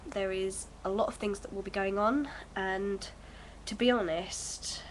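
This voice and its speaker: A woman's voice: medium pitch, steady tone, a little slow, slight background noise, low-quality recording. Bunged-up young woman rambling to camera. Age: early 20s. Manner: rambling, hesitant, many fillers. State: bunged up from a cold. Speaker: vlogger. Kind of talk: casual chat to camera. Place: at home.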